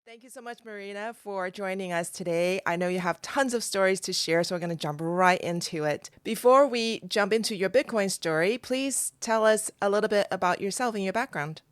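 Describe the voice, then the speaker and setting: A woman with a mid-pitched voice hosts a podcast interview, friendly and brisk. Her voice is recorded close on a dry mic. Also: no room sound. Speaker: podcast host. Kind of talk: interview hosting.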